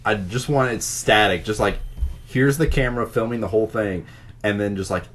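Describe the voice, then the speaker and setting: A man's voice: lowish voice, a little fast, fairly bright tone. A man co-hosting a podcast, chatting and joking casually with friends. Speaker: podcast co-host.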